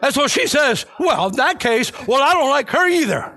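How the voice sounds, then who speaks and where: A man's voice: very high voice, sing-song, moderate pace, noisy background. A middle-aged or older man preaching a church sermon as personal testimony, telling it conversationally and repeating phrases for emphasis. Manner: conversational storytelling.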